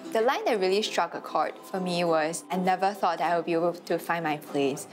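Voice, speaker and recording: A young woman from China chats softly as a reality show contestant. Her voice sits on the low side for a woman, and she pauses often with small fillers. Music plays behind her. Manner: soft-spoken, with hesitant fillers. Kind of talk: casual chat.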